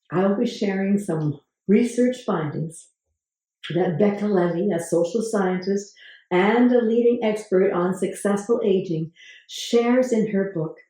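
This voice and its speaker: A woman hosting a channel, talking to camera about research, with a gravelly voice today and a measured way of asking viewers questions. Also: mid-range voice, dark tone. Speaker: host. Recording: very echoey room.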